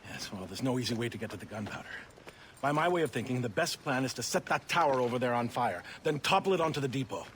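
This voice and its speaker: Male actor as sardonic saboteur, quick and very animated, game drama with noisy outdoor ambience. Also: medium pitch, natural voice. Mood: exasperated. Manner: grumbling, sardonic. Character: irritable, practical male rebel saboteur. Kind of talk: video game voice acting.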